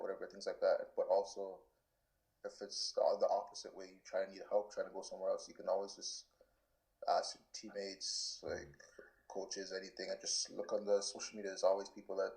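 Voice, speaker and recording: A man's voice: low voice, vocal fry, low-quality recording. A man in his twenties from Canada, answering interview questions casually and loosely, with lots of filler words and restarts. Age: 20s. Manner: relaxed, many "like" fillers and restarts. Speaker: guest soccer player.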